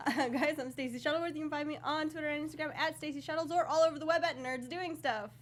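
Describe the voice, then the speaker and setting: A woman with a very high voice co-hosts a casual review show. She speaks conversationally, with faint noise and ambience around her. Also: fairly even intonation, fast. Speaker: co-host of a film review show. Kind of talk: casual chat.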